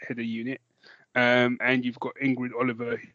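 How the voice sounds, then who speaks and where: A man's voice: medium pitch, monotone, fairly quick, pushed and bright, no echo, muffled recording. A man co-hosting a podcast in casual chat, jokey and self-mocking, with some swearing. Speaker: podcast co-host. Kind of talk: casual chat, recommending audio dramas.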